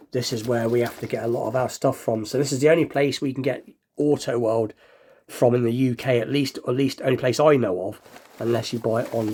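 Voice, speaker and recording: A man's voice: mid-range voice, a little mellow, slight background noise. Slightly nasal man, casual vlogger. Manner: rambling, thinking aloud. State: slight cold. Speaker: hobby unboxing video host. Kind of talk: casual chatty unboxing commentary.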